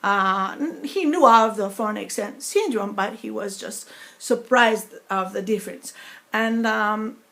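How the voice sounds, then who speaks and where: Halting woman with a foreign-sounding accent. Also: mid-range voice, a bit bright. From New York. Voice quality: foreign-sounding accent from a speech disorder after a stroke. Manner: slow halting speech, many fillers, dropped in-between words. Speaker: vlogger sharing her experience. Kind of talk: personal talk to camera.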